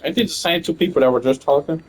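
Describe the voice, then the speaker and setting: A man's voice: on the high side, quick pace, low-quality recording. A man giving casual, blunt, profane and slangy reactions as he plays through a game. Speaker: gamer reacting to game story. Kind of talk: casual gameplay commentary.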